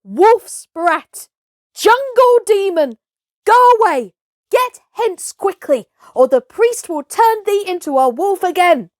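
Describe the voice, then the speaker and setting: A woman voicing angry, fearful villagers, shouting accusations in a storybook reading. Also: extremely high pitch, big pitch swings, slow pace, dry recording, clean studio sound, pitched above the performer's own voice, exaggerated voice. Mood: furious, fearful.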